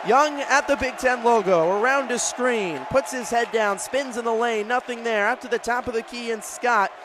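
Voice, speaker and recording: A man's voice: very high voice, moderate pace. An American man calling live basketball on the radio as play-by-play announcer, in clipped, descriptive phrases. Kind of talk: live radio sports commentary. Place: courtside in a large indoor arena. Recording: crowd and faint arena noise. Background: basketball crowd, bouncing ball.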